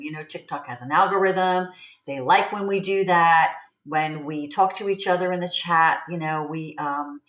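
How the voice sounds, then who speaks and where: A woman's voice: deep voice, fairly bright tone. A woman in her seventies talking casually to livestream viewers in a chatty, rambling way, repeating key phrases. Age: 70s. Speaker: livestream host promoting online income. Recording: roomy, echoey sound.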